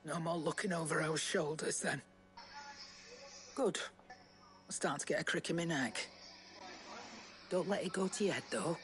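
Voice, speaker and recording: A woman's voice: low voice, a little slow, long pauses, soft background music. An actress playing a dry, teasing woman who speaks wryly. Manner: wry, teasing.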